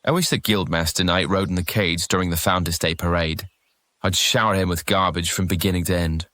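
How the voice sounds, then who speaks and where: A man's voice: low voice, steady tone, fairly quick, fairly bright tone, dry recording. A man playing a reluctant young troublemaker with a grudge, wary and grumbling, in a fantasy audio drama. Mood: bitter.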